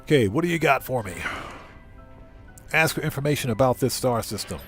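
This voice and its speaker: A man's voice: medium pitch, expressive, fairly quick, soft background music. A man hosting a livestream, chatting informally and thinking aloud as he plays a game and reads aloud from the screen. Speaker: live streamer and channel host. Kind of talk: casual livestream game commentary, reading chat and on-screen text aloud.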